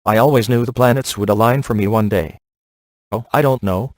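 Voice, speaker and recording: A man's voice: fairly low pitch, fairly quick, no echo, close to an everyday voice. A man voicing a cocky, wisecracking, flirtatious middle-aged ghost hunter in a video game, with dry, sarcastic delivery. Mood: teasing, sardonic. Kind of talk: video game voice acting, one-liners.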